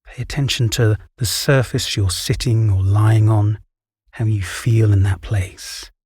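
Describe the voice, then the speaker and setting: Slow, clear-voiced man guiding meditation. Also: lowish voice, dry recording, studio quality. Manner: calm, soothing, measured instruction. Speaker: meditation guide. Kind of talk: guided relaxation meditation, scripted narration.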